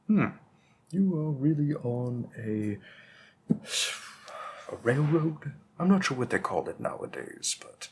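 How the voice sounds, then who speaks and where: Guy playing a bookish vampire shopkeeper in an audio rp, husky voice, slow n musing, patient but kinda menacing. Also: mid-range voice, heavily acted. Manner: musing, hesitant, deliberate, with frequent pauses. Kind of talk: roleplay audio drama monologue, conversational.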